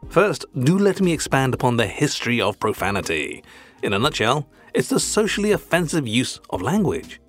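A man's voice: mid-range voice, very animated, moderate pace, fairly bright tone, faint music behind. A British man hosting a scripted comic language lesson, speaking in a prim, formal and wordy manner. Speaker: podcast host.

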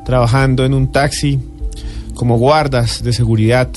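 A man's voice: mid-range voice, steady tone, moderate pace, darkish tone, faint music behind. A man on a radio talk panel, greeting the listeners effusively.

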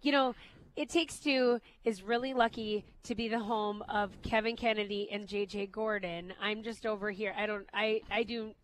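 A woman's voice: mid-range voice, fairly even intonation, moderate pace, low-quality recording. A woman hosting a radio show, chatting casually in a playful, teasing way. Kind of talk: casual bantering radio chat.

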